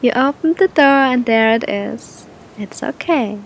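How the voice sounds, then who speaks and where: High-pitched woman slowly guiding a meditation. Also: animated, rough recording. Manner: gentle, soothing, unhurried with long pauses. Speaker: meditation guide. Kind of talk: guided meditation, spoken softly to the listener. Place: outdoors on a beach. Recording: outdoor recording with low rumbling noise.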